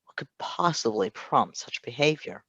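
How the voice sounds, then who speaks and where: A man's voice: mid-range voice, animated, moderate pace, vocal fry, a bit bright, slightly rough, dry recording, in a whisper, highs cut off. Man narrating a story, dry close sound. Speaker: fan fiction reader.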